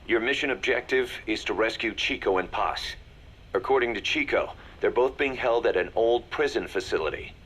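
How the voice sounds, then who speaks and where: An actor playing a serious military second-in-command in a video game, briefing in a businesslike, measured manner. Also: male voice, medium pitch, fairly bright tone, slight background noise, lightly acted.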